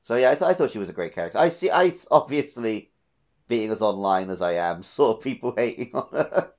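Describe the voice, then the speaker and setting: A man's voice: on the low side, lively intonation, brisk, darkish tone. A man co-hosting a casual video game podcast, sometimes repeating words as he thinks aloud. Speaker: podcast co-host.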